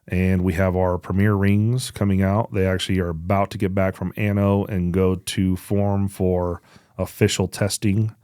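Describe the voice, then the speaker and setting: Low-voiced man, casual podcast co-host. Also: level tone, moderate pace, warm tone, smooth, dry recording, clean studio sound. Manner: informal, conversational. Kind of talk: casual podcast product update.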